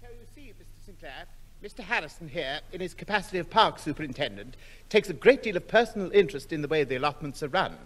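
A man's voice: fairly high pitch, big pitch swings, fast talker. A man playing a polite, officious middle-aged official in a 1960s radio comedy.

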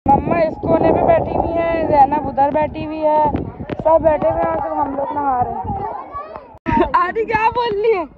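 A woman's voice: high-pitched, normal speed, phone-quality sound, very noisy. A young woman vlogging casually at an outdoor water park, talking into a phone held at arm's length, playful and complaining. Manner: complaining, playful, talks straight to the camera. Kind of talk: casual vlog chat.

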